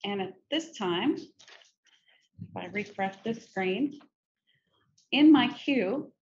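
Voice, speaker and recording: A middle-aged woman presenting a software demo in a conversational, informal step-by-step tutorial style. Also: mid-range voice, lively intonation, very slow. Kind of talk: conversational screen-share tutorial. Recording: muffled, noisy screen-recording audio.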